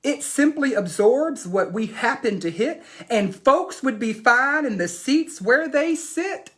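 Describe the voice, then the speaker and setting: A woman's voice: a little high, expressive, slow pace, pitched above the performer's own voice. Woman reading as an emphatic, boastful young boy inventor. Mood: eager, showy. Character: imaginative boy proudly showing off his dream car. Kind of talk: expressive storybook read-aloud.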